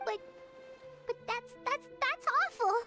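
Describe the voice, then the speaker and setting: An actress voicing a tiny childlike fairy companion in a video game, squeaky, earnest and easily flustered. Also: female voice, a little slow, music in the background. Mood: flustered, indignant. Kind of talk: English video game voice acting.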